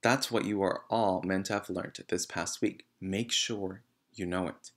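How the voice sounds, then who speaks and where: A man voicing a gentle but firm female schoolteacher from a storybook, polite, measured and earnest. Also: sits low, fairly even intonation. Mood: cautioning.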